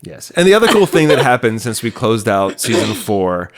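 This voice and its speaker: Podcast host: American male, medium-pitched, casual and conversational in delivery. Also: sing-song, moderate pace. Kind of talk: casual chatty podcast introduction.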